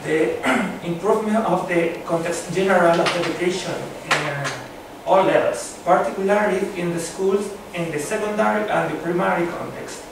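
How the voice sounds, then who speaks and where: A man with a Chilean Spanish accent giving a conference talk, halting, with many fillers and restarts. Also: sits high. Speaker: conference presenter. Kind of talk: academic conference presentation. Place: seminar room with a long table. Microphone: far camera. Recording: echoey room from far off, background noise.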